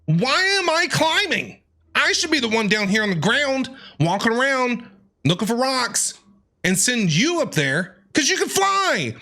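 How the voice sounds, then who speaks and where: A man reacts to a video game in casual streamer talk. His voice is bright and lively, swinging widely in pitch, full of exclamations and playful exasperation. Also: a little slow.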